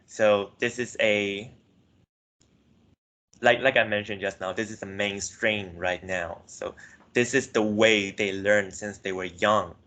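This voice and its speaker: A young man with a fairly low voice presents research findings to a class. He speaks hesitantly, leaning on filler words and often correcting himself mid-sentence. He grew up in Myanmar. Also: fairly even intonation, takes it slowly, pushed and bright. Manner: hesitant, frequent "like" and self-corrections. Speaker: student presenter.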